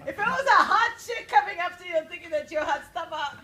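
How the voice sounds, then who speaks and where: A woman's voice: extremely high pitch, expressive, fast, crowd noise. A woman in a casual group chat, chiming in with teasing quips.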